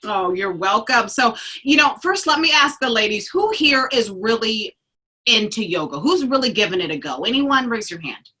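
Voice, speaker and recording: A woman with a husky voice hosting a talk show in casual, chatty style. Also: a little high, expressive, normal speed, pushed and bright. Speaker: show host.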